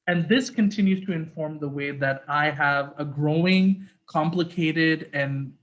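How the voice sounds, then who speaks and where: A man's voice: a little high, a bit bright, highs cut off. A man with a sore throat giving an earnest, reflective talk as an interfaith activist, then answering questions over a video call. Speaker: guest speaker, interfaith activist.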